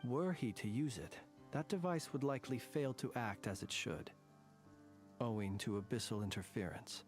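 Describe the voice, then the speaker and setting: Male, acting composed, courtly game soldier with quiet menace, formal measured delivery, mid pitch, soft music underneath. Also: lightly acted. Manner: formal, measured, deliberate phrasing. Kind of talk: scripted video game voice acting.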